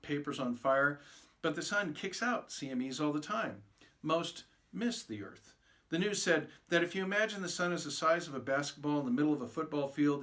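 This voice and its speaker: A man's voice: fairly high pitch, a bit bright. A man, an author, informally introducing and narrating his own short story.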